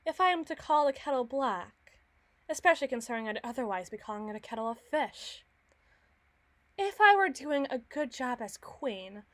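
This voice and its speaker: A woman playing a haughty, battle-hardened evil queen in an ASMR roleplay, speaking formally and deliberately with dramatic pauses. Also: a little high, natural voice. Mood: weary, bitter. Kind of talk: ASMR roleplay monologue, scripted.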